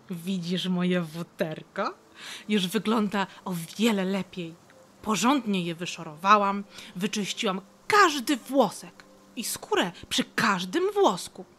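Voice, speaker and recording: A woman playing a lively, affectionate, chatty red squirrel in an audio drama, eager and effusive. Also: mid-range voice. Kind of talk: audio drama character acting.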